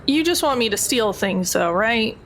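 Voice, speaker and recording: A woman's voice: fairly high pitch, animated, moderate pace, a bit bright, slight background noise. A woman playing a wary, blunt and sardonic recruit in a tabletop roleplaying game.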